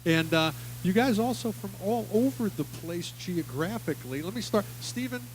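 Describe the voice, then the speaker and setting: A man's voice: high-pitched, animated, moderate pace, poor audio. A man from Long Island hosting a radio show, chatting in a loose, rambling way with a band in the studio. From Long Island, New York. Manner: friendly, rambling, with restarts. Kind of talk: casual interview with a live band. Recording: light hum in a room with rain on the roof.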